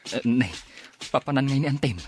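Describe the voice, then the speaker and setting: An actor playing a lovesick older suitor in a radio drama, declaiming sentimentally and haltingly with an Ilocano accent. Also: male voice, medium pitch, breathy edge, lightly acted. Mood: smitten.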